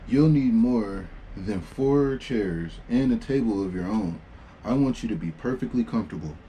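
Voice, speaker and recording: A man's voice: fairly low pitch, animated, moderate pace, warm tone, a little hiss or hum, close to an everyday voice, poor audio. A man voicing a polite, bossy young girl who plays a welcoming, chatty librarian in a storybook. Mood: gracious, excited. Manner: gracious, chatty, mildly fussy. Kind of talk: storybook read-aloud dialogue.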